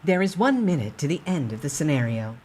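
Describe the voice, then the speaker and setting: A man's voice: on the high side, sing-song, fairly quick, darkish tone, a little hiss or hum, voice pushed up. A man voicing an impersonal game-like system that makes formal announcements.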